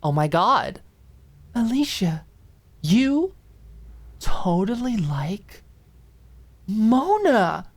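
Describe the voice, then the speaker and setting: A woman voicing an excitable, confident, gossipy young woman in a dramatized audiobook, gushing and slangy. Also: medium pitch, drawn-out words, pauses often, voice pushed up, heavily acted. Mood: gleeful, excited. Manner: gushing, dramatic, teenage-style slangy delivery. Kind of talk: dramatized audiobook character acting.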